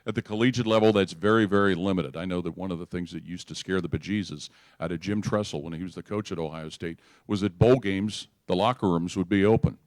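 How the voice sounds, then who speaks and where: Deep male sportscaster explaining. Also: fast, no room sound. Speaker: sports broadcaster, panelist. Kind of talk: unscripted explanatory panel talk.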